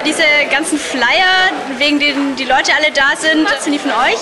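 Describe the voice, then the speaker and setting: Woman playing a high-voiced wasteland reporter. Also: normal speed, a loud crowd, lightly acted. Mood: questioning. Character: inquisitive young field reporter in a wasteland. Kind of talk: on-the-spot reporting.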